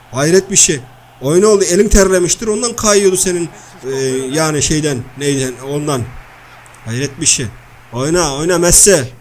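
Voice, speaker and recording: Man doing a high-pitched blustering comic loudmouth. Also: lightly acted. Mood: grumbling, dismissive. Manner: slangy, blustering, nagging, repeats phrases. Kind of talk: comic video-game banter and match commentary.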